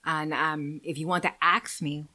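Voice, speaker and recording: A woman's voice: low-pitched, flat delivery, moderate pace, bright, forceful tone. A woman in her forties from Guyana with a Guyanese accent, a guest talking candidly and earnestly in an interview.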